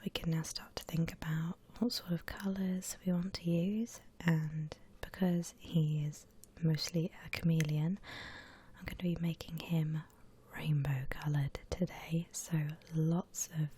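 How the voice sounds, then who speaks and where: A woman whispering gently and breathily as she guides listeners through a drawing, in the style of an ASMR tutorial. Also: deep voice, fairly even intonation. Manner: gentle, unhurried step-by-step explaining. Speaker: host of an art ASMR channel.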